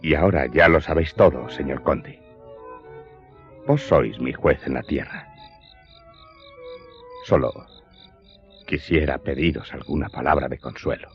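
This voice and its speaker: Remorseful, very deep-voiced servant. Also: male voice, measured pace, pauses often. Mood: contrite, pleading. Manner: deferential, sorrowful, confessional. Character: remorseful male servant, a steward confessing his crime. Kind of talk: Spanish-language radio drama acting. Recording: dull lo-fi sound, music behind.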